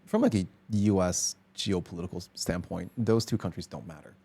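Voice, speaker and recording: A man with a fairly low voice hosts a relaxed podcast conversation. He speaks bluntly and keeps checking agreement with "right?" as he lays out his views. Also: brisk, breathy edge. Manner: frank, opinionated, says "right?" often. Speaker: podcast host. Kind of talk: casual conversation.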